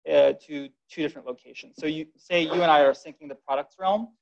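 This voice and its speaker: An upbeat man at a podium finishes his presentation, talking informally with plenty of uhs. His voice is gravelly and a bit higher than usual. The room recording sounds dull and lo-fi. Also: fairly quick. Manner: upbeat, informal, filler words. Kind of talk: tech meetup presentation.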